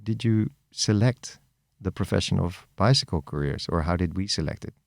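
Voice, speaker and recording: Male host, very low voice, stammering questioner, dry sound. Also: normal speed, creaky, no room sound. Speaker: podcast host. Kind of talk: interviewing.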